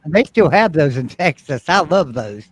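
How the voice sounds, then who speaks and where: A man from with a slightly high voice tells emphatic stories in a casual chat. He leans hard into his punchlines and throws in mild cursing as he goes. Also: big pitch swings, moderate pace, slightly rough, dry recording. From West Virginia.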